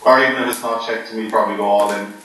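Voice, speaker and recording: Deadpan joking man, echoey room. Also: lowish voice, racing. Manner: deadpan, jokey, rambling with ums. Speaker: poker player being interviewed. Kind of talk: joking casual chat. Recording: echoey room, voice from far off, faint noise.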